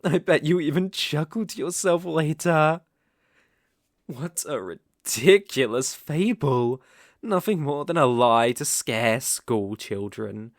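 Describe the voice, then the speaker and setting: A man's voice: a little high, expressive, slow, dry recording, studio quality. A British man playing a suave, possessive vampire count in an intimate ASMR roleplay, teasing and commanding in theatrical, old-fashioned phrasing. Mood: mocking.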